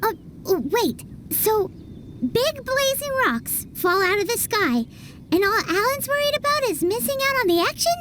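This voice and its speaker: A woman voicing a tiny, excitable female fairy companion who talks about herself in the third person. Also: extremely high pitch, measured pace, a little hiss or hum, full character voice. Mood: indignant. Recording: game soundtrack music behind.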